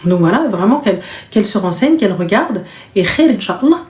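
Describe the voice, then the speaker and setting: A woman's voice: mid-range voice, animated, a little fast, a little mellow, reverberant. A woman hosting a live call-in chat, giving advice bluntly and familiarly, with slang and terms of endearment. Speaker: live show host giving callers advice.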